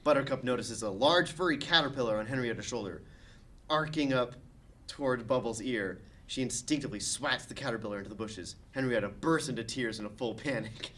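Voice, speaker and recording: A man's voice: mid-range voice, brisk, fairly bright tone. A man reading stage directions as the narrator of a comedic script table read.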